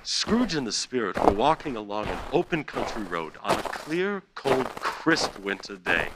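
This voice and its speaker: A man's voice: fairly low pitch, sing-song, moderate pace, loud music behind the voice. A man playing the narrator of a Victorian Christmas radio play, telling it as scripted storytelling. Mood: descriptive.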